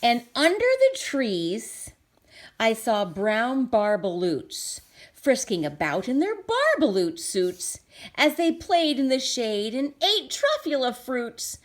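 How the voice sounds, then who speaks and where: Animated, slow-paced woman reading to children. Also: a little high. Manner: expressive, explaining with simple questions and interjections. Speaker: storybook reader. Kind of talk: children's read-aloud with asides to the listener.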